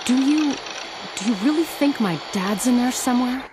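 A worried, higher-voiced tech ally. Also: female voice, lively intonation, moderate pace, background noise. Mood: concerned. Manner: businesslike with concern. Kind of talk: video game cutscene acting. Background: music.

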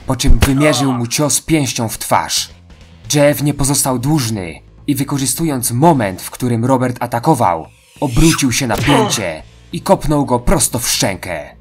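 Slow, slightly husky male narrator. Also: medium pitch. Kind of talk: scripted horror story narration.

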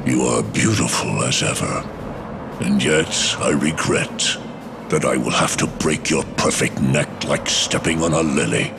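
Very deep, gravelly demon lord. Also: male voice, exaggerated voice. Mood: smug menace. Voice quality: gravelly, booming. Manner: grandiose, threatening, deliberate. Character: menacing, arrogant demon lord, huge armoured male tyrant. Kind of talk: fantasy game voice acting. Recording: loud background music.

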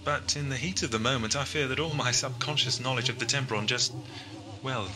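A man's voice: mid-range voice, a little fast, a crowd in the background, lightly acted. A man playing a genial, absent-minded time-travelling Doctor in a sci-fi audio drama, musing and hesitant. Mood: troubled musing. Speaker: actor. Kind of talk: 1980s amateur sci-fi audio drama acting. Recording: noisy old cassette audio drama.

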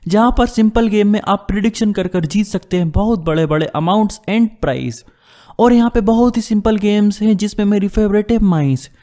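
High-pitched man reading a promo. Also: a little mellow. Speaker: sponsor promoter. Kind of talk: scripted promotional narration, Hindi mixed with English.

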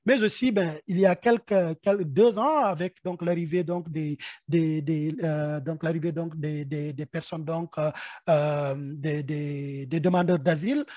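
A man's voice: on the high side, fairly even intonation, a little slow, mellow, dry recording. Give me a man, presenting a workshop over a webcam call. He keeps circling back on little words as he thinks, and the sound is dry and close. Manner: many repeated stammered words, thinking aloud. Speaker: project officer leading a workshop. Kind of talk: webinar presentation. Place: indoor room. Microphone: video call webcam.

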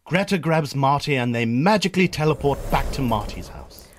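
A man's voice: medium pitch, expressive, normal speed, faint music behind. A man with a Northern Irish accent reading scripted narration as the narrator of a story.

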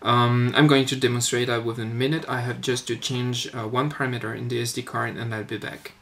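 A man giving an informal demonstration of his electronics project, speaking in a hesitant, halting way. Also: mid-range voice, level tone, a bit bright. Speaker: electronics hobbyist presenting own board. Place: indoors at a workbench. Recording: noisy webcam-style audio with clicks.

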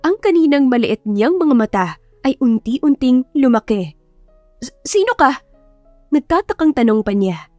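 A woman's voice: sits high, lively intonation, normal speed, dark tone, dry recording, lo-fi sound. A woman reading a scripted story as an audiobook narrator, in a dramatic storytelling style. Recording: music behind the voice. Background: background music.

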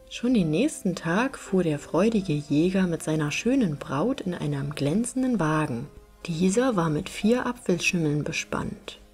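A woman narrating a fairy tale as an audiobook reader, in an expressive storytelling style. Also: on the low side, husky.

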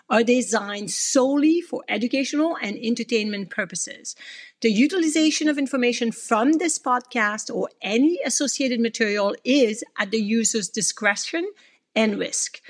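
A middle-aged woman with a French accent, speaking in a slightly high voice. She teaches in a relaxed, conversational way and keeps checking in with her listeners as she explains. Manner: friendly, explains simply, asks listeners "make sense?". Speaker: podcast host and acupuncture teacher. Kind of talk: casual teaching monologue.

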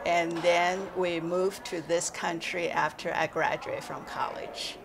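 Slow, gravelly woman instructor from Taiwan. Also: lowish voice, level tone, background noise. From born in China, raised in Taiwan. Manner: halting, with frequent uh fillers and simple grammar. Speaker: exercise instructor. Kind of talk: casual interview. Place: indoor library room, seated in armchair.

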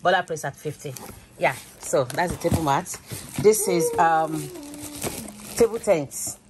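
A woman in her fifties talks to her viewers through a phone held at arm's length, chatty and thinking out loud. Her voice sits a little low, and clear background noise runs under the recording. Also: unhurried. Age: 50s. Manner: chatty, thinking aloud, laughs easily. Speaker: vlogger. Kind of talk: casual chatty vlog.

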